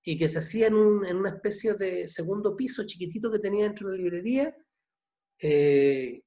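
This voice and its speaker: A man in his sixties from Chile with a Chilean accent, a novelist answering questions at an online book launch, halting and repeating words. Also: high voice, mellow. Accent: Chilean Spanish. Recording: dull muffled video call audio.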